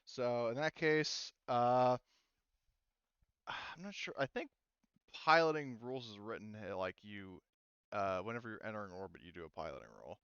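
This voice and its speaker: A man's voice: fairly low pitch, normal speed, a bit bright, smooth, no room sound. A man with a clean, resonant voice runs a tabletop game in a casual way. He hesitates and thinks aloud as game master. The sound is close and dry, a little dull.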